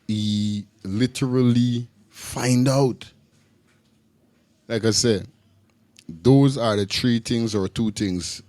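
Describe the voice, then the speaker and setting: A man's voice: mid-range voice, darkish tone. A man with a Guyanese accent, hosting a reaction video and rambling loosely in casual commentary. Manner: loose, rambling, repeats himself with Creole grammar. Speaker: reaction channel host.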